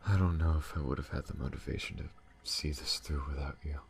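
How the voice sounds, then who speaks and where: A man playing an alien spaceship captain in an intimate ASMR audio drama, speaking haltingly. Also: rumbling low, steady tone, creaky, a little hiss or hum. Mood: tender, confessing. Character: adult male alien spaceship captain, tender lover, hardened to enemies.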